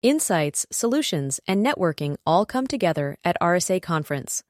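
A woman's voice: fairly low pitch, big pitch swings, normal speed, dry recording. A woman delivering a scripted promotional voice-over as an advertisement announcer.

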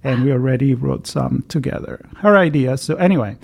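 A man's voice: mid-range voice, a little fast, creaky, a little mellow, husky. A man hosting a podcast interview over a video call, talking in a rambling, chatty way with many restarts. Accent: Italian. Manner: rambling, with frequent restarts and fillers. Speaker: podcast host. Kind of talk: conversational interview.

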